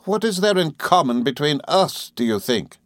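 A man reading a classic novel, voicing a hateful, imperious gentlewoman with a cold, stern, sharp tone and contemptuous manner. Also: medium pitch, lively intonation, a little slow, no echo, studio quality, voice pushed up, natural voice. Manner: contemptuous, resolute, theatrically fierce. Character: hateful, imperious, scornful young gentlewoman villain.

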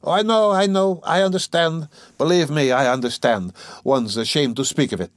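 A man voicing a flustered, excitable young man who stammers and blusters in a dramatised audiobook reading. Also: mid-range voice, moderate pace, no room sound, pitched above the performer's own voice. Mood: embarrassed, apologetic. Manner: stammering, exclamatory, indignant.

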